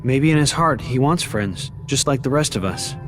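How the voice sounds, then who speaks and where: An actor playing an earnest, brave young warrior in a video game. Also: male voice, medium pitch, animated, moderate pace, over music, lightly acted. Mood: reflective. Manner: earnest, heroic.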